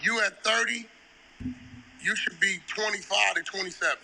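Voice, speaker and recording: A man's voice: sits high, moderate pace, creaky, noisy background. A man guest in a casual chat, giving blunt streetwise advice. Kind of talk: casual chat over a call.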